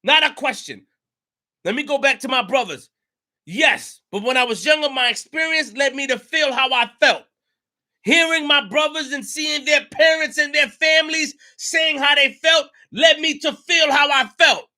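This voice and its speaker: A man delivers a passionate monologue on his own show. His voice sits very high and cuts sharply, and he hammers his points home with repetition and bursts of shouting. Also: normal speed. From New York. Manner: emphatic, repetitive, rising to shouts. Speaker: show host. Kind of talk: impassioned commentary monologue.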